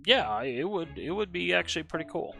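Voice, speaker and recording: A man giving casual, rambling live-stream commentary while playing a game, slightly hoarse and often correcting himself. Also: on the high side, sing-song, brisk, over music.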